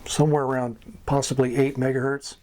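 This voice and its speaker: A man's voice: mid-range voice, little pitch movement, brisk, darkish tone. An American man giving an informal explanatory talk as a hobbyist teacher. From United States. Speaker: amateur radio hobbyist teaching beginners.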